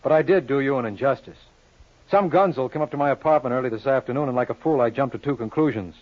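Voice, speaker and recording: Man acting a fast-talking hard-boiled radio sleuth. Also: mid-range voice, lightly acted. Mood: sly, accusing. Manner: glib, sardonic, hard-boiled. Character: brash wisecracking male private lawyer-sleuth, cocky and needling. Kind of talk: 1950s radio crime drama acting. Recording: dull lo-fi old radio recording.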